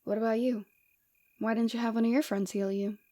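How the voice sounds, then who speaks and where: A woman playing a flirtatious, forward rebel villainess with a secretly caring side, in a soft, teasing, intimate ASMR roleplay. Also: fairly high pitch, fairly even intonation, brisk, natural voice. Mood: tender, concerned.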